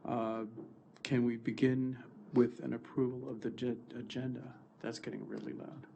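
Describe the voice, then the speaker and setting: A man's voice: mid-range voice, level tone, moderate pace, gravelly, mellow, slight background noise. A man with a cold, sounding a little stuffy, chairing a formal committee meeting and moving through agenda items. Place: board meeting room.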